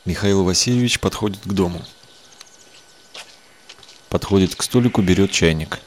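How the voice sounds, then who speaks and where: A man narrating audio description, calmly describing the on-screen action in a matter-of-fact way. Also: low voice, moderate pace, a little hiss or hum.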